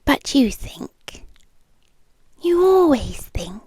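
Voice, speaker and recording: A British woman playing a possessive, doting girlfriend in an intimate ASMR roleplay, speaking softly and breathily, often in whispers, close to the microphone. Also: high voice, big pitch swings, dry recording, heavily acted. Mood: soothing, protective. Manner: slow, lingering, coaxing delivery with pauses. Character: possessive, doting yandere girlfriend, soothing and clingy. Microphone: close microphone, dry.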